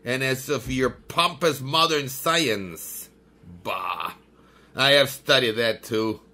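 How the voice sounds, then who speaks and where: A man's voice: mid-range voice, takes it slowly, bright, forceful tone. An actor playing a haughty, smug male mystic who scorns his enemy, in 1930s-style radio drama acting. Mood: scornful. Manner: mocking, pompous, laughing.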